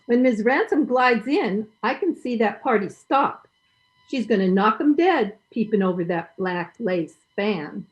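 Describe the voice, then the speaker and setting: A woman acts a gossipy, plain-spoken radio-comedy housekeeper. Her voice sits a bit high and is folksy and knowing, with somewhat muffled video-call sound. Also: lively intonation, measured pace. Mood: teasing relish. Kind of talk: old-time radio comedy acting.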